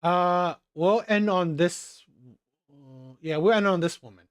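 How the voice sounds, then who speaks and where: A man hosting a reaction video, commenting in a halting, hesitant way. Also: high voice, smooth, no echo, clean studio sound. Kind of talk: casual commentary over a clip.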